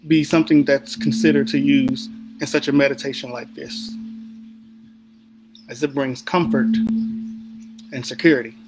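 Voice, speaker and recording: A man guiding a sleep meditation in a soothing, deliberate manner with unhurried pauses. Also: medium pitch, rough recording. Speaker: meditation guide. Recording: background music under the voice. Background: music.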